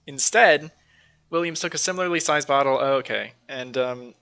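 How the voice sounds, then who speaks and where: A man's voice: mid-range voice, moderate pace, sharp tone. A man co-hosting a podcast, casually bantering and reading out odd news stories in an informal, sweary way. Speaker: podcast co-host.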